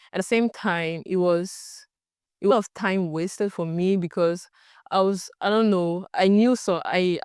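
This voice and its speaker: Young Cameroonian woman, French accent, very smooth clear voice, mid pitch, hesitant and searching for words, dry close recording. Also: darkish tone, dry recording. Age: young adult. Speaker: student researcher. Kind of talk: documentary interview answers.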